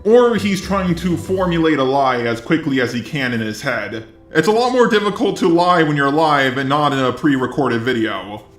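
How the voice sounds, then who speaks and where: A man's voice: on the high side, expressive, moderate pace, a bit bright, echoey room, faint music behind. A synthetic robot male voice delivering scripted video commentary.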